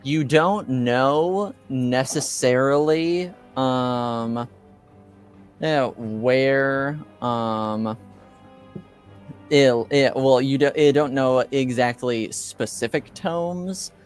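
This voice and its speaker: A man running a tabletop game as game master, narrating and refereeing in a halting way as he searches for words. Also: mid-range voice, smooth, faint music behind.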